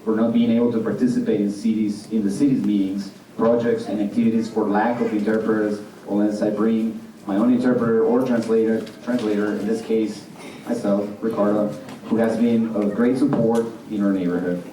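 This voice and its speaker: A man interpreter reading a translated testimony aloud at a city hearing, steady and careful. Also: fairly low pitch, moderate pace, slight background noise. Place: public meeting hall. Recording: echoey hall, distant mic.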